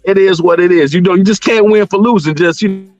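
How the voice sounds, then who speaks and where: A middle-aged American man with a slightly high voice talks casually as a guest caller. He circles back over his words in a rambling way. The sound is dry and a little muffled, like an online call. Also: brisk, a little mellow, no room sound, soft background music. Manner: rambling, repeats words, frequent "you know". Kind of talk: casual discussion of personal experience. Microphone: internet call connection. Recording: band-limited online call audio.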